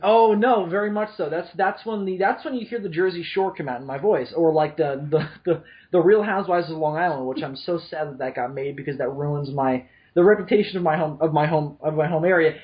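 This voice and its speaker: A young man from New York with a high-pitched voice, hosting a podcast and rambling through stories with lots of fillers and restarts. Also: brisk, few pauses. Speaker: podcast host. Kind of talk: casual chat. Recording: lo-fi audio.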